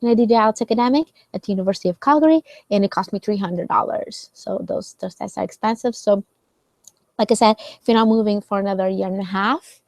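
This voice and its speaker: A woman's voice: medium pitch, fast, a little mellow, no echo. A woman hosting a live question session, explaining casually with many fillers and "right?" checks. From Portugal. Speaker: host answering viewer questions.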